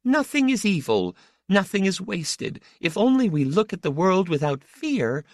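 Casting: a man to play an elderly storybook mother, pitched high, soft and exultant, soothing with a possessive pull. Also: wildly swooping pitch, takes it slowly, dry recording, studio quality, full character voice.

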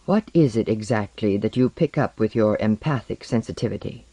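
Narrator doing a quiet, curt woman surveyor. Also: medium pitch, moderate pace, dry recording, pitched below the performer's own voice, close to an everyday voice. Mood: quiet, calm.

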